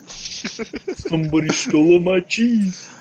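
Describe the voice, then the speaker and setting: A man with a somewhat high voice plays a tabletop roleplaying game. He keeps up casual, joking chat and throws in wisecracks. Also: slow, crowd noise, poor audio.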